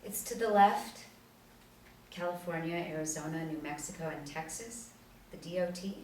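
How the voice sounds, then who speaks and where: Probing newswoman read by a woman, deep female register, scripted drama, echoey room. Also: normal speed, darkish tone, close to an everyday voice. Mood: questioning. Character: probing female television news interviewer. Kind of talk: scripted table reading. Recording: roomy, distant mic, light room noise.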